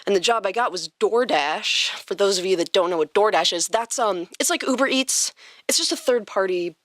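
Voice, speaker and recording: A woman chats casually as a podcast host, with an informal, playful way of talking. Her voice sits at a middle pitch. The recording is dry and close, made in a studio. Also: animated, moderate pace, a bit bright, no echo.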